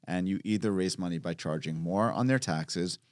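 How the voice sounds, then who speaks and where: A man's voice: sits low, normal speed, a little mellow, no echo, clean studio sound. A man co-hosting a real estate podcast, explaining and asking follow-up questions in casual talk. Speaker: podcast co-host. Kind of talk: casual panel discussion.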